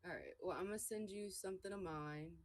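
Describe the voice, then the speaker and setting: A woman's voice: lowish voice, fairly quick, over a noisy crowd. A woman hosting a casual live stream, congested and nasal, talking in loose slangy bursts. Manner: loose, slangy, hyped talk. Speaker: live stream host reviewing music. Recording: loud music playing behind.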